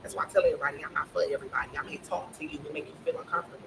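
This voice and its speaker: A woman in a casual group discussion with a deep, aggressive-sounding voice, talking bluntly and insistently with plenty of swearing. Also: steady tone, very fast, crowd noise. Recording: distant mic with clear background noise.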